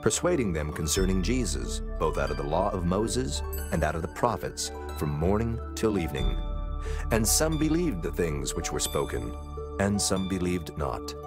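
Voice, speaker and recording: Male narrator for dramatized scripture: deep, solemn, animated intonation, measured delivery. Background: music.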